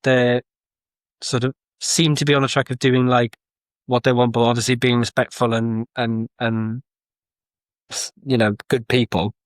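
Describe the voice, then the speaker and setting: Halting man in his thirties, podcast guest, mid pitch, dry mic. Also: level tone, no echo. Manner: hesitant, lots of restarts. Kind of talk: casual chat.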